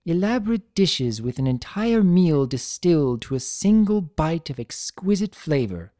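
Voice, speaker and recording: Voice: mid-range voice, sing-song, measured pace, mellow, dry recording, clean studio sound, pitched above the performer's own voice. A performer voicing an elegant, proud and guarded female unicorn pony in a cartoon fan story, with refined, theatrical and precise diction. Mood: wistful.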